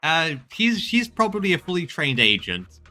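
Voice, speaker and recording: A man giving casual let's-play commentary, halting as he thinks aloud and reads game text. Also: fairly high pitch, huge pitch swings, pushed and bright. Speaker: game streamer. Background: game music.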